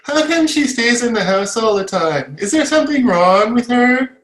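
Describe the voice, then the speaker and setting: A woman's voice: a little high, big pitch swings, moderate pace, echoey room. A woman playing a nosy, annoying ten-year-old neighbor girl in an amateur sitcom table read. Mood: nosy, pestering.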